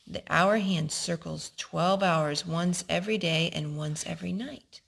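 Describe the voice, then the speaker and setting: Woman reading as patient, husky-voiced storybook father. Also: lowish voice, a little slow, fairly bright tone, heavily acted. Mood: patient, instructive. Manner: instructive. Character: patient father instructing his young son.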